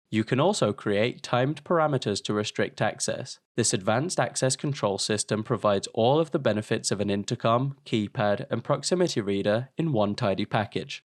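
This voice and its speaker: A man reading a scripted promotional product voice-over in a polished, measured, presentational way. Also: medium pitch, dry recording, clean studio sound. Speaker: product video narrator.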